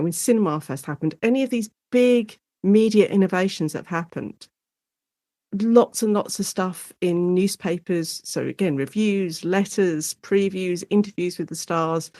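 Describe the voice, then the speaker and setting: A woman's voice: fairly low pitch, warm tone, no echo, studio quality. A British woman, a guest academic, explaining her research in an enthusiastic, chatty, conversational interview style. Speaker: guest academic specialising in audio drama.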